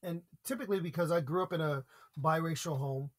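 A man's voice: a little high, brisk. An American man from a podcast interview, earnestly explaining public speaking with examples and repeated points. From United States.

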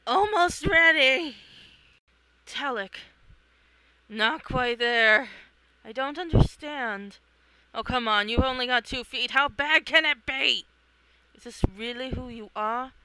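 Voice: sits high, slow, long pauses, bright, forceful tone, clear, resonant tone, no room sound, rough recording. A person reading a comic aloud, acting out the characters in a theatrical, exclamatory way. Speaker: reader of own comic. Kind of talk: animated read-along of a comic, acting out characters.

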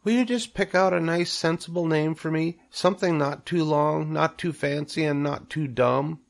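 A man's voice: fairly high pitch, normal speed, gravelly, voice pushed up. A man voicing tiny young female spiders in a storybook, dainty, polite and eager. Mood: eager, playful.

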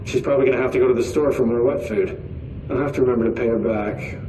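Rambling, awkward officer over echoey intercom. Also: male voice, medium pitch, fairly even intonation, fast talker, mellow, recorded from a distance. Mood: rambling, chatty. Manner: rambling, self-correcting, earnest. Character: chatty, awkward, nervous male security officer fond of his cat. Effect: intercom speaker. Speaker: game character on an intercom. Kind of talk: video game voice acting, rambling monologue. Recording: echoey intercom, noisy game ambience.

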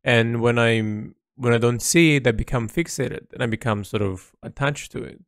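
A man speaking a reflective monologue as an artist's audio log, halting and introspective as he searches for words. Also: medium pitch, no room sound, studio quality.

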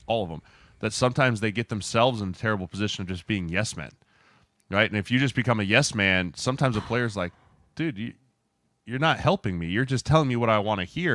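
A man's voice: fairly low pitch, fairly bright tone, no room sound. A man chatting on a sports podcast, explaining things colloquially and acting out imagined quotes, close on the microphone. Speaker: former NFL lineman, podcast co-host. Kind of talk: casual explanatory podcast chat. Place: indoor room, remote video podcast. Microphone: close podcast microphone with headset.

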